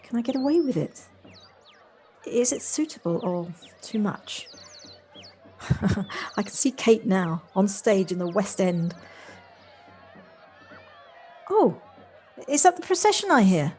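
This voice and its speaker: A woman's voice: mid-range voice, very animated, a little slow, long pauses, mellow, faint music behind. A woman acting a kindly, hesitant city visitor in an audio play, politely stumbling over her first words. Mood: excited. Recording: amateur home recording with background noise.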